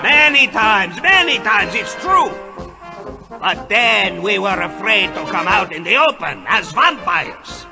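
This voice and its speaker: An actor playing a grandiose, menacing vampire leader in an audio drama, orating in a pompous, declamatory way. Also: male voice, high-pitched, big pitch swings, slow pace, creaky, over music, heavily acted. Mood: commanding. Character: grandiose menacing male vampire leader rallying his kind. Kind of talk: theatrical audio drama oratory.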